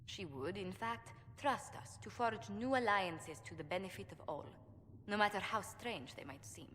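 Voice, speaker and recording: A woman's voice: mid-range voice, fairly bright tone, lightly acted. Actress as poised woman diplomat in echoing hall. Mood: composed, tactful. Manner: formal, measured, courtly. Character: poised, tactful female court diplomat and ambassador. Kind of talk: video game dialogue acting. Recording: long hall reverb, faint noise.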